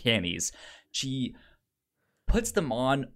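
A podcast co-host with a frequent stutter, repeating sounds and syllables while casually discussing film in a halting, hesitant way. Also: mid-range voice, very animated.

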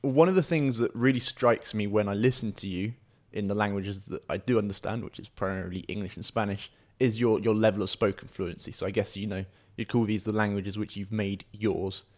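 Lowish voiced guy hosting a podcast, upbeat and encouraging questions. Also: fairly even intonation, brisk, a little mellow. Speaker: podcast host. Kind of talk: interview hosting.

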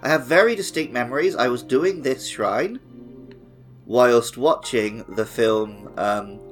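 A man's voice: mid-range voice, lively intonation, takes it slowly. A man co-hosting a gaming podcast in a casual, conversational way. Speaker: podcast host. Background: music.

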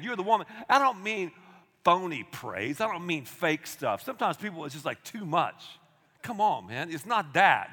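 A man, preaching a sermon in an energetic, conversational way with asides to the congregation. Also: high voice. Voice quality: loud voice. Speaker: pastor. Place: large church auditorium. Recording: long hall reverb.

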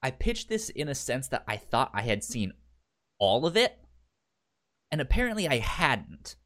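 A man's voice: medium pitch, sing-song, unhurried, a bit bright. A man co-hosting a podcast in casual chat, rambling, with many stammered restarts and the hiccups. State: has the hiccups. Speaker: podcast co-host.

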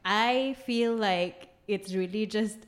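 A woman's voice: medium pitch, slow pace. A woman with a Singaporean accent speaking as a podcast guest in casual, chatty talk full of "like.". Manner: chatty, lots of "like" fillers.

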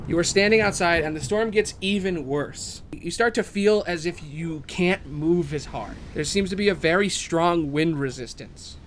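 Higher-pitched man narrating as game master. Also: normal speed, few pauses, a bit bright, a little hiss or hum. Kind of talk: narrating a tabletop role-playing game.

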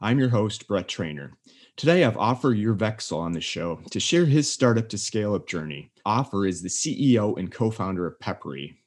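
Upbeat low-voiced male podcast host. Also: big pitch swings, moderate pace. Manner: upbeat, scripted in the intro. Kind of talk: podcast intro and interview.

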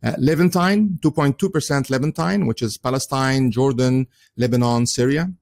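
Man, arabic first language, solo commentary, earnest, chuckling, fillers and restarts, medium pitch. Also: moderate pace, creaky, a little mellow.

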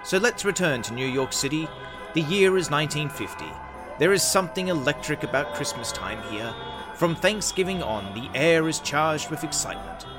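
A man's voice: a little high, lively intonation, moderate pace, poor audio. A man hosting and narrating a radio play in a scripted storytelling style. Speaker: host and narrator. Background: music.

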